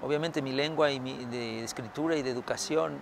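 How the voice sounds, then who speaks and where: A man's voice: medium pitch, brisk, creaky, background noise. A middle-aged man from Mexico answering interview questions outdoors, thoughtful, with many fillers and restarts. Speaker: historian author. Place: outdoors by a building.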